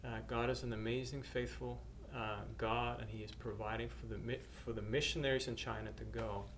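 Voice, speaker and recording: A middle-aged man from Norway shares his missionary testimony at an even, mid-range pitch. He talks haltingly, full of filler sounds and restarts. A faint hiss of noise sits under the recording. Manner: hesitant, many uhs and restarts. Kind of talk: personal testimony to camera.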